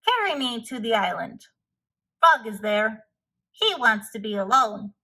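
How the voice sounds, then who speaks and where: A woman's voice: on the high side, sing-song, unhurried, sharp tone, heavily acted, poor audio. A woman voicing an anxious, devoted male toad in a children's story, earnest and emphatic. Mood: urgent, calling.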